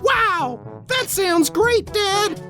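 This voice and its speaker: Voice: very high voice, lively intonation, drawn-out words, sharp tone, soft background music, heavily acted. An actor voicing a wide-eyed young boy in a cartoonish comedy ad, drawn out and childish. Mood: wide-eyed, excited.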